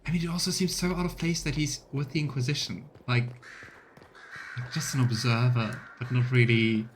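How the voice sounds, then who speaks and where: A man's voice: medium pitch, fairly even intonation, normal speed, a little hiss or hum. A man giving casual, musing commentary while streaming a game, often trailing off mid-thought. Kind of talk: casual live let's play commentary.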